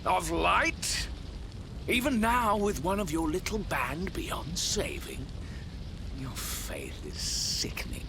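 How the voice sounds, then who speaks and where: An actor playing a vain, envious demon commander in a video game, with a mocking, theatrical delivery. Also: male voice, sits high, big pitch swings, vocal fry, noisy background, exaggerated voice. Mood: sneering mockery. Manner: theatrical, mocking, drawn-out phrasing. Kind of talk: video game villain voice acting.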